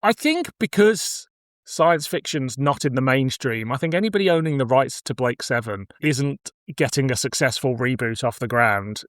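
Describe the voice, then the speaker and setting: A man's voice: medium pitch, no echo, studio quality. A middle-aged man hosting a podcast, chatting casually and giving opinions in colloquial language. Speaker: podcast host. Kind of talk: casual chat about cult science fiction.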